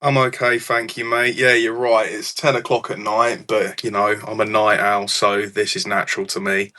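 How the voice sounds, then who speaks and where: British male stream guest, casual, fairly flat delivery with a bright, low voice. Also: moderate pace. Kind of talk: casual chat.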